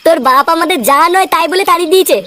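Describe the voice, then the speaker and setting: A performer with a pitched-up voice playing a brash, foul-mouthed village friend in a comedy cartoon. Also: rapid-fire, over music. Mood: puzzled. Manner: blunt, swearing. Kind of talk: Bengali comedy cartoon dubbing.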